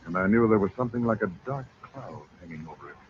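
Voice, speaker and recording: An actor playing a grave, mysterious middle-aged uncle in an old-time radio drama, speaking in a measured, purposeful way. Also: male voice, fairly low pitch, lively intonation, natural voice. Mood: grave, probing. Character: grave, mysterious, insistent uncle with occult knowledge. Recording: muffled, faintly noisy vintage radio recording.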